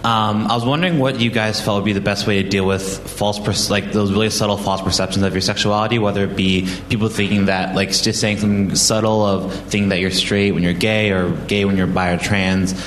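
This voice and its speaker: A man's voice: lowish voice, fairly even intonation, a little fast, few pauses, fairly bright tone. A young man with an American accent hesitantly and casually asking a question from an audience. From United States. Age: young adult. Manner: hesitant, frequent "like". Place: audience in indoor event venue. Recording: echoey room, faint background noise.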